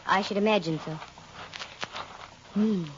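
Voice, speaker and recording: A woman's voice: medium pitch, measured pace, background noise, lightly acted. An actress playing a breezy, stubborn woman detective in a 1940s radio drama, with slangy wisecracks and a dull old radio sound. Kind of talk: 1940s radio acting and narration.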